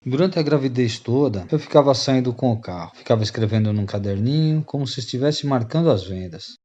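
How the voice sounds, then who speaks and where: A man's voice: on the low side, warm tone, breathy edge, dull audio. An actor playing a repentant Brazilian rogue and former freeloader, telling his story to friends in radio drama style.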